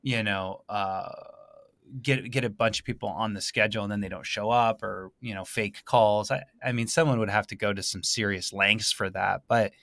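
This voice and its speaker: A man hosting a casual interview, thinking aloud in a halting way and often rephrasing himself. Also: on the low side, fairly even intonation, vocal fry, a bit bright, dry recording. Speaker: podcast host.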